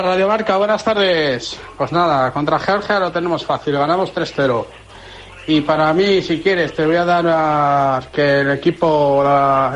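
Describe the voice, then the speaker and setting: A man leaving a cheerful, confident voice note for a sports radio show. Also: fairly high pitch. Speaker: caller.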